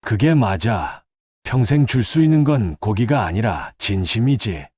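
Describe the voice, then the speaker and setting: A man playing a steady, kindly husband in an audio drama, with a husky voice and a plain, reassuring manner. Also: lowish voice, measured pace, no echo, clean studio sound. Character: steady, kindly husband working in a restaurant kitchen.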